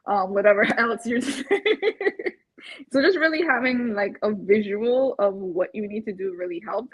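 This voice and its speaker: A woman from Barbados with a husky voice, talking casually and chattily, as in a testimonial interview. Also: medium pitch, no room sound. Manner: chatty, laughs often while talking. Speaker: interviewed client, first-time home buyer. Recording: dull, muffled lo-fi audio.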